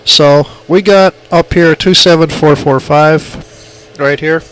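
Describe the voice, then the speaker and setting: A man's voice: fairly high pitch, fairly even intonation, slow, fairly bright tone, clear, resonant tone, low-quality recording. A man giving an informal hands-on tutorial in a plainspoken, emphatic way, repeating his points, on an amateur phone recording. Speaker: radio hobbyist demonstrating equipment.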